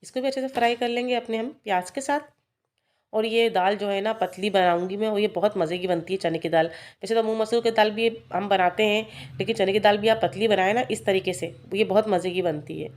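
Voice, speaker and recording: A woman's voice: mid-range voice, fairly even intonation, a little fast, a little mellow. A woman vlogging at home in her kitchen, chatty and talking viewers through each step, with a sore throat. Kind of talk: casual cooking vlog narration.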